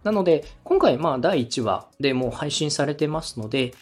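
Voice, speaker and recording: A man's voice: fairly high pitch, animated, normal speed, soft background music. A man speaking as a podcast host and director, giving polite, step-by-step feedback and acting out short examples as he explains. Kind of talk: structured coaching talk on a podcast.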